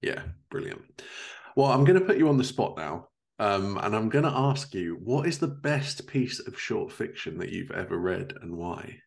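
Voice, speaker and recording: A man's voice: on the low side, big pitch swings, normal speed, vocal fry. A man in his thirties hosting a podcast in a casual interview style. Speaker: podcast host. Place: living room, indoors. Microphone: video call.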